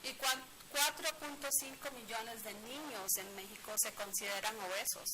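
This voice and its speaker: A woman interprets each point right after the speaker, with small fillers and self-corrections. Her voice sits a little high, and clear background noise runs under it. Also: steady tone. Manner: brisk, with fillers and restarts. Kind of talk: consecutive interpreting. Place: studio set, seated.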